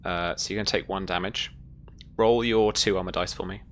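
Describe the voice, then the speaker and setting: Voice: low-pitched, fairly even intonation, a little fast, a bit bright, a little hiss or hum. A game master running a tabletop roleplaying game, informal in manner, narrating the action.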